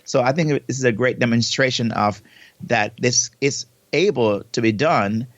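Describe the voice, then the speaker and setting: A man's voice: medium pitch, normal speed, fairly bright tone, no echo. A man from Jamaica with a Jamaican accent, co-hosting a podcast over a remote link. He talks expansively, repeating and restarting his words. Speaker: podcast co-host. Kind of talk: casual podcast chat. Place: home in South Florida, remote recording. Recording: dull, lo-fi remote audio.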